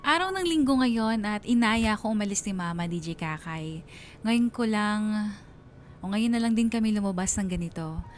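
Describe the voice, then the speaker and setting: A woman's voice: mid-range voice, normal speed, faint music behind, close to an everyday voice. An actress playing a wistful young woman narrating her own story in a confessional radio drama. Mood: reflective, resigned.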